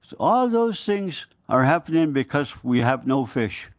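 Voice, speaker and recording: A man in his sixties from the Middle Yukon in Alaska, giving earnest public testimony with halting restarts that turns urgent and pleading. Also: on the high side, very animated. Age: 60s.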